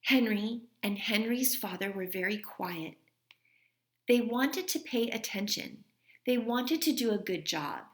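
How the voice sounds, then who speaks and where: A woman reading a children's storybook aloud in an expressive manner, like a teacher. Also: on the high side, measured pace, reverberant.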